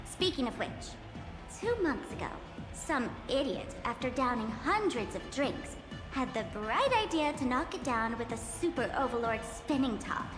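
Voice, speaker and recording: High, echoing playful trickster girl voiced by a woman. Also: animated, normal speed, lightly acted. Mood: sly, explaining. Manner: playful, theatrical, sly. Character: playful, teasing female trickster and guide. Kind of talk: video game character acting. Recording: echoey hall reverb, loud music behind. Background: music.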